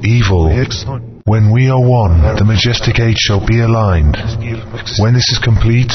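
A man voicing an ancient spirit that speaks as a collective, with slow, oracular pronouncements. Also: on the low side, in a large hall, faint music behind, natural voice. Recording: muffled lo-fi game audio.

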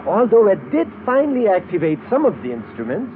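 High-voiced, animated professor. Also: male voice, a little fast. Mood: grave. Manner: precise, explanatory. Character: learned male astronomy professor, grave, deliberate. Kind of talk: 1950s radio acting. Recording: tinny, noisy old radio recording.

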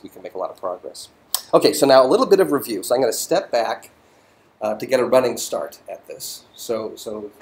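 A man's voice: medium pitch, a little mellow. A middle-aged man giving a physics lecture as a voiceover to slides, thoughtful and full of ums and restarts.

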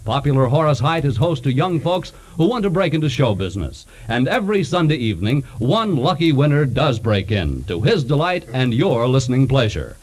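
A man's voice: mid-range voice, big pitch swings, a little fast, few pauses, a little mellow, low-quality recording. A man with an American accent announcing in polished, declamatory 1940s radio promotional style.

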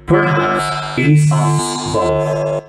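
A woman voicing a sinister, taunting animatronic rabbit with a gravelly voice for horror voice lines. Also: extremely low pitch, sing-song, very slow, heavily acted. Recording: roomy echo under loud music.

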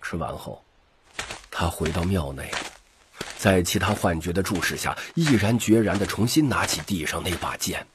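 一名男性有声书播讲者，以戏剧化的方式照稿讲述故事。. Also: male voice, low voice, lively intonation, moderate pace, background noise. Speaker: audiobook narrator. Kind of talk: scripted dramatic story narration.

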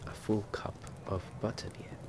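A man playing a kindly, attentive innkeeper in an ASMR fantasy roleplay, speaking softly and gently, often dropping to a breathy whisper. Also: close to an everyday voice. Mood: gentle, soothing. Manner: gentle, soothing, unhurried. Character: kindly, attentive male innkeeper of a wizarding pub. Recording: background ambience noise under close voice.

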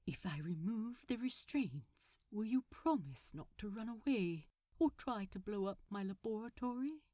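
Casting: genteel male supervillain acted by a woman, bashful and fond, very polite, expressive pitch, storybook dialogue. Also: a little slow, no room sound, studio quality, natural voice. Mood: gentle, coaxing. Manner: prim, polite, formal diction. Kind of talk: fan fiction audiobook character voice.

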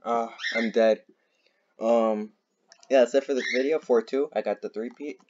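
A young man casually commenting on a racing game, excitable and rambling with lots of fillers and laughs. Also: mid-range voice, moderate pace, dull audio, slight background noise. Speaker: gaming YouTuber.

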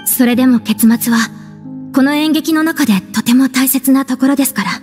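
A woman's voice: high voice, normal speed, darkish tone, close to an everyday voice. An actress playing a polite, organised young woman of a theatre troupe in a video game, explaining things in order. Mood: earnest, composed. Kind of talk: Japanese video game voice acting. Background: music.

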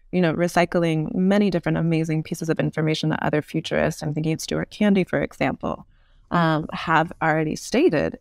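A woman's voice: on the low side, vocal fry, a little mellow, no room sound, clean studio sound. A woman speaking as an interview guest, explaining ideas thoughtfully and repeating for emphasis. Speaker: podcast guest, futurist author.

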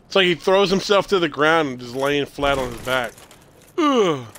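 A man in his thirties hosting a casual gameplay stream, stuffy with a cold and clearing his throat, rambling and correcting himself as he talks. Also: a little high, big pitch swings, moderate pace, a bit bright, a little hiss or hum. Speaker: streamer host.

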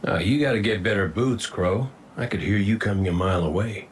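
Low-voiced roguish gunslinger played by an actor. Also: male voice, a little fast, close to an everyday voice. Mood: wry, teasing. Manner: folksy, teasing drawl with dry quips. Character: roguish, wisecracking male gunslinger, cocky and folksy. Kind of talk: video game voice acting. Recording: music under the voice.

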